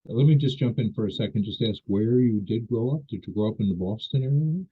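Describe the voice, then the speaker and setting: An older man with a low, husky voice asks questions politely, pausing and correcting himself as he goes. His voice comes through a thin, phone-like line. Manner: polite, hesitant, apologetic, restarts phrases. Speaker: interviewer. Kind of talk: interview questions.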